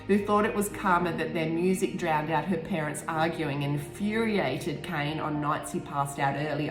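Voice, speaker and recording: A woman author narrating her own book, stumbling over and rereading the odd phrase. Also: deep voice. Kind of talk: audiobook-style narration with brief personal asides. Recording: roomy echo, faint music behind.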